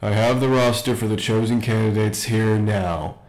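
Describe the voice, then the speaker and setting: A man playing an academy principal who makes formal, measured announcements in an audio roleplay. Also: fairly low pitch, steady tone, a little mellow, natural voice, low-quality recording.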